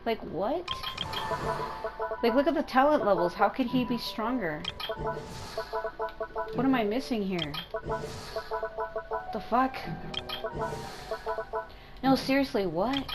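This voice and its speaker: A woman with a congested, mucusy throat doing casual, sweary game commentary, thinking out loud. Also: a little high, lively intonation, slow pace, pauses often. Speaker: gaming video host. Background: music.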